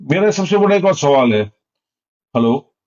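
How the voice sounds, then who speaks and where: A man's voice: fairly high pitch, lively intonation, unhurried, darkish tone. A man interviews someone by phone. His questions are long and tend to circle back on themselves. The call recording sounds somewhat dull. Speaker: journalist interviewer.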